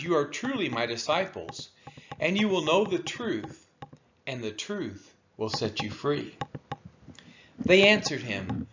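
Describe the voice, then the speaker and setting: A man reading scripture aloud in a solemn style, with deliberate, emphatic phrasing and a dull, lo-fi sound. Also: fairly high pitch, a bit bright, slight background noise. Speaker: scripture reader.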